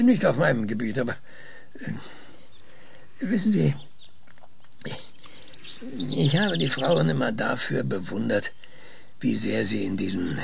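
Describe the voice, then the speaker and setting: An actor in a radio drama playing a proud, opinionated old scientist who speaks pompously and searches for words. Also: male voice, on the low side, creaky, very noisy, exaggerated voice. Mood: halting, condescending. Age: older.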